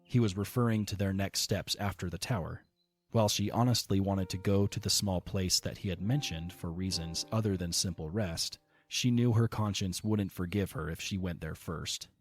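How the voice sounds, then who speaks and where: Low-voiced male storybook narrator. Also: normal speed, faint music behind.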